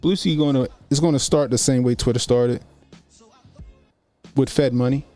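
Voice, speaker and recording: A man's voice: mid-range voice, fairly even intonation, normal speed, warm tone, faint music behind. A man in his forties doing casual livestream commentary as a YouTube host, talking informally and repeating phrases for emphasis. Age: 40s.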